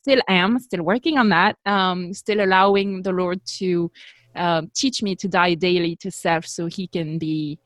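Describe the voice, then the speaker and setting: A woman's voice: on the low side, steady tone, unhurried, a bit bright, dry recording. A woman in her forties from France with a French accent, a podcast guest talking earnestly and poking fun at herself, with plenty of ums and you knows. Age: 40s. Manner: earnest, self-deprecating, fillers like um and you know. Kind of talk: casual interview chat.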